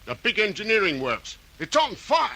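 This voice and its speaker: A man's voice: sits high, huge pitch swings, moderate pace, loud music behind the voice, heavily acted. A man playing an alarmed caller reporting an emergency, urgent, in an old radio drama.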